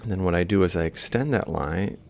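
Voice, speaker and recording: Deep, very creaky man teaching. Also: a little fast, darkish tone, slight background noise. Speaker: robotics lecturer. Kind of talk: explaining step by step while drawing.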